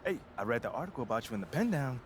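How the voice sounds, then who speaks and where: A man's voice: medium pitch, very animated, rapid-fire, a little hiss or hum, natural voice. An actor voicing a laid-back young male rookie basketball player in a video game cutscene, talking casually with slang and jokes. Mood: relaxed. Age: young adult.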